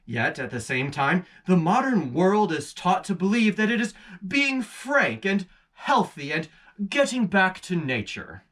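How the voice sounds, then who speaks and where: Man as a theatrical, condescending demon uncle, swooping pitch. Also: very animated, a bit bright, far from the mic, heavily acted. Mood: gloating, scheming. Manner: theatrical, pompous, deliberate. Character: pompous senior demon uncle, scheming and condescending. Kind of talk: dramatic reading of literary letter.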